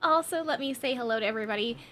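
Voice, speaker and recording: A woman's voice: a little high, rapid-fire, sharp tone, slight background noise. A woman in her twenties chatting casually with viewers on a game livestream, with a chatty, bubbly, giggly manner. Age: 20s. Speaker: game streamer.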